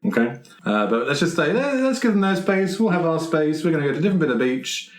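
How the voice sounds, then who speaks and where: A man's voice: a little high, big pitch swings, quick pace. A man playing a tabletop roleplaying game in a casual, improvised way, speaking in hesitant, repetitive phrases. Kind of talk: casual improvised in-character play. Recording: roomy echo, faint noise.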